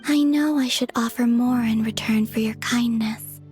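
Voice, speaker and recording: A woman voicing a weary, gentle young moon goddess for a video game, speaking softly and breathily in a solemn, wistful way. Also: on the high side, steady tone, lightly acted. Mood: weary, melancholy. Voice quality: soft, breathy. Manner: slow, wistful, solemn delivery. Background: background music.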